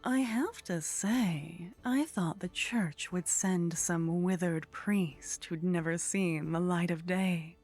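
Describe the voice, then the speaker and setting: Woman acting a slow, breathy, flirtatious vampire lady. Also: fairly low pitch, darkish tone, soft background music. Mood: wry, flirtatious. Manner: slow, teasing, breathy murmur slipping into whispers. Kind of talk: ASMR roleplay. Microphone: close microphone.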